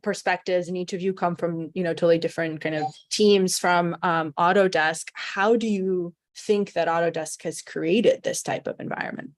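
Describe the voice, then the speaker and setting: A woman moderates a conference panel in a friendly, conversational way. Her voice sits a little low. The sound is dry and close. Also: fairly even intonation, moderate pace, few pauses, no echo.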